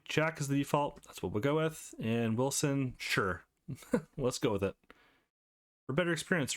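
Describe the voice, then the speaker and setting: A man presents a video game playthrough in an informal, upbeat way. His medium-pitched voice moves up and down a lot, giving the commentary an animated feel. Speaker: let's player host. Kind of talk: casual let's play commentary, reading game text aloud.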